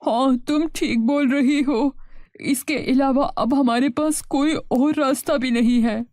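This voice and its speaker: A woman's voice: high-pitched, steady tone, measured pace, darkish tone, no echo, studio quality. A woman voicing a poor, humble, distressed village farmer in a cartoon story. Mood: resigned.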